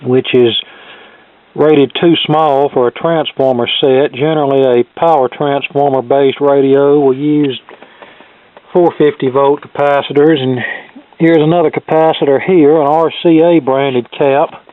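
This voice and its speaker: A man's voice: mid-range voice, steady tone, measured pace. Man with thin, phone-like sound, thinking aloud. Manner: plain-spoken, thinking aloud as he inspects. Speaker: vintage radio repair hobbyist presenter. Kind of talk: casual unscripted demonstration commentary.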